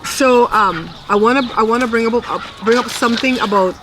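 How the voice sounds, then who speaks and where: A woman's voice: fairly high pitch, fairly quick. A middle-aged woman reading tarot on camera in a casual, colloquial, teasing way. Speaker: tarot reader and video host. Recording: noisy outdoor recording with animal sounds.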